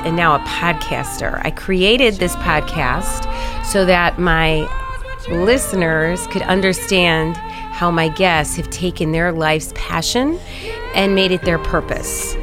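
A woman hosting a podcast as a life coach, friendly and encouraging as she asks her guest questions. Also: lowish voice.

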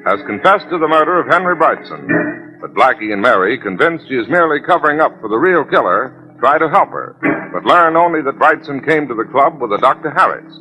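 A man's voice: a little high, expressive, fairly quick, poor audio. A man narrating a vintage radio drama as its announcer, formal and dramatic. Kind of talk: 1940s radio scripted narration. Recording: vintage 1940s radio recording. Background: music.

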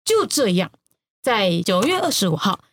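A woman with a Taiwanese accent telling news stories in an expressive, teasing podcast style. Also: mid-range voice, normal speed. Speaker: news podcaster, guest storyteller. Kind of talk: playful news storytelling.

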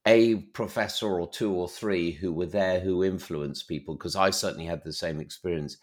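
A middle-aged man hosting a conversational interview podcast, engaged and expressive in how he puts his questions. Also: low voice.

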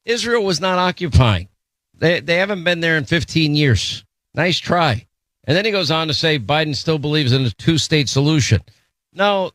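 A man's voice: medium pitch, a bit bright, no echo. A man hosting a talk radio show, commenting in an indignant, emphatic way into a studio mic. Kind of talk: opinion commentary.

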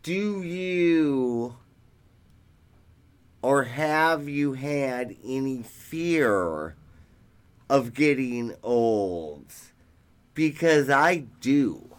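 Slow-talking guy in his 50s, pitch jumps around a lot, casual podcast chat, keeps chiming in. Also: animated, clean tone. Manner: chatty, frequent affirming interjections. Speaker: podcast co-host.

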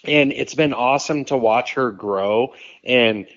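A man in his thirties chats as a podcast guest, rambling along quickly with lots of "like" and "you know." His voice sits in a mid range. The recording sounds somewhat dull and muffled. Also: medium pitch, a bit bright, no echo. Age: 30s. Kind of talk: casual conversation.

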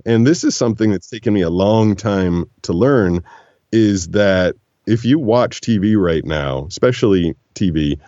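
A man with a very low, deep voice tells stories in an animated, relaxed way, slipping into other voices when he quotes people. The room is dry and close. The sound is a little dull and lo-fi. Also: measured pace, no room sound. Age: 40s. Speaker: guest, voiceover actor. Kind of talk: relaxed storytelling conversation.

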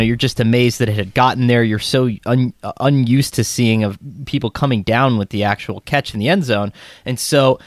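A man's voice: medium pitch, no echo. Rambling man on a sports podcast. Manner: rambling, many fillers and restarts. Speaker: podcast co-host. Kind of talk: casual sports podcast chat.

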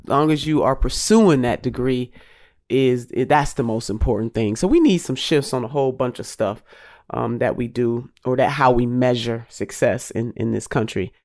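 A woman's voice: very deep voice, normal speed, darkish tone, no echo. Woman podcast host, nasal from a cold, emphatic and preacherly, dry sound. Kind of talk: casual podcast interview.

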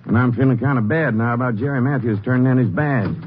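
A man's voice: medium pitch, fast talker, close to an everyday voice. A man playing an easygoing country sheriff with a casual, folksy way of talking, in 1950s radio drama style. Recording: dull old radio broadcast with faint hiss.

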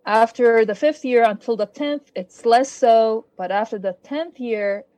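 A woman's voice: a little high, a little slow, warm tone, no echo. A woman with a foreign accent, a guest on a podcast, explaining things earnestly with hesitations. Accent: foreign-accented English. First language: not English. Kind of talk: casual interview chat.

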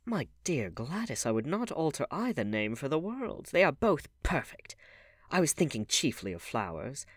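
A man voicing a witty, languid aristocratic gentleman who speaks in arch, theatrical epigrams in a novel. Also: mid-range voice, very animated, normal speed, dry recording, clean studio sound, pitched above the performer's own voice. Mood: arch, amused.

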